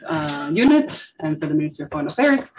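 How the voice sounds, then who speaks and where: A woman's voice: sits low, big pitch swings, quick pace, slight background noise, poor audio. A woman doctoral candidate giving an informal introduction of herself at an academic workshop.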